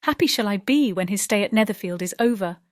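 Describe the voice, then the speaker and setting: A woman playing a gentle, anxious young gentlewoman in a dramatized audiobook, earnest and plaintive. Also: mid-range voice, lively intonation, fast talker, no echo, studio quality, close to an everyday voice. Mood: troubled. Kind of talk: dramatized audiobook character acting.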